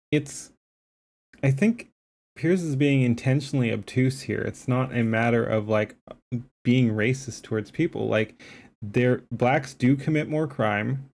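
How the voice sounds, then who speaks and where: A man's voice: medium pitch, unhurried, darkish tone. Rambling, emphatic male streamer. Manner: rambling, emphatic, many fillers and restarts. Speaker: streamer reacting to a debate clip. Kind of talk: casual live-stream commentary.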